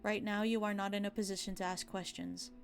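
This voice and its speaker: A woman's voice: mid-range voice, little pitch movement, a little fast, gravelly, faint music behind, lightly acted. A woman narrator voicing a stern, accusing courtroom questioner in a true crime documentary. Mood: stern, probing.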